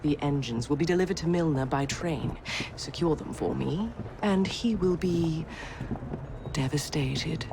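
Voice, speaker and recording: A woman's voice: sits low, measured pace, vocal fry, noisy background. A woman playing an imperious, scheming Victorian businesswoman in a video game, composed and commanding. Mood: scheming, pleased. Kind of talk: video game cutscene acting. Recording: loud music over dialogue.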